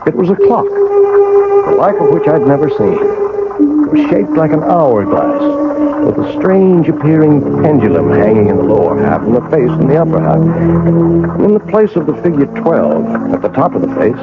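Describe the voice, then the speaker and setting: A man's voice: a little high, huge pitch swings, normal speed, over loud music. A man playing a weary, obsessed older clockmaker in a radio drama, with brooding, literate phrasing. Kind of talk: first-person radio drama narration and dialogue. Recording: muffled old radio recording.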